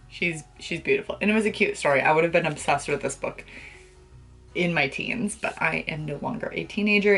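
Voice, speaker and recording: A young woman chatting casually to the camera as a book vlogger, with an expressive, gushing and emphatic way of talking. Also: low-pitched. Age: young adult. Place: small bedroom, indoors.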